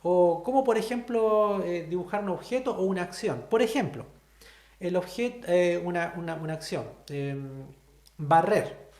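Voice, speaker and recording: A man's voice: sits high, unhurried, a little mellow, reverberant. A man with a Chilean accent teaching a sign language class, explaining step by step and checking in with students. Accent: Chilean Spanish. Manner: explanatory, asks the class frequent questions. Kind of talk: online class lecture.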